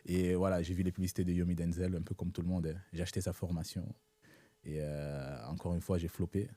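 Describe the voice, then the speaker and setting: A young man, born in Italy and raised in Africa, who learned French later, talking as an interview guest in a measured way, checking in with his listener. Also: low voice, flat delivery, dark tone, dry recording. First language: not French. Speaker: guest entrepreneur. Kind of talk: casual interview talk.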